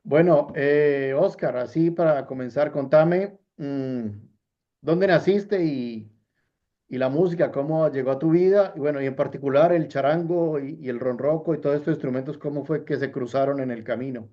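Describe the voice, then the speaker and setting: A man's voice: on the high side, moderate pace, muffled recording. A man interviewing a musician, asking long, searching questions.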